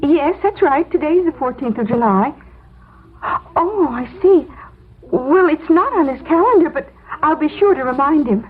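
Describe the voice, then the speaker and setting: Worried american woman, high voice, earnest and emotional, 70s radio play, tinny and noisy. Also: animated, moderate pace. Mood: brisk, polite. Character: anxious, concerned American woman, devoted and easily dismayed. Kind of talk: 1970s radio drama acting.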